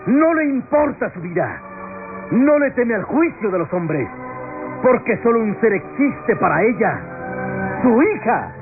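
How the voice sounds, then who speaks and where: An actor playing an impassioned defense lawyer in a classic Latin American radionovela, declaiming solemnly with rhetorical pauses. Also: male voice, high-pitched, big pitch swings, heavily acted. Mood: indignant, impassioned. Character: impassioned male defense lawyer pleading in court. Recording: tinny vintage radio drama, music behind.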